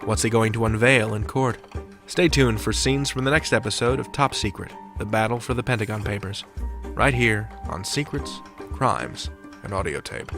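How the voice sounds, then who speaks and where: A man's voice: lowish voice, moderate pace, over music. A man hosting a podcast with scripted narration in a wry, playful manner. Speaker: podcast host.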